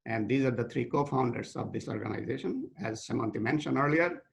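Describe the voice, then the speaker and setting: A middle-aged man from Bangladesh, a nonprofit co-founder, giving an unscripted slide presentation with halting restarts. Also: medium pitch, creaky.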